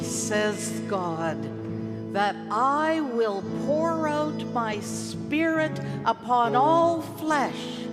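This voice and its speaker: A woman giving a dramatic scripture reading at a church service, with theatrical, deliberate phrasing and long pauses. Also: sits high. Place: large church. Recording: long church reverb, music playing behind. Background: music.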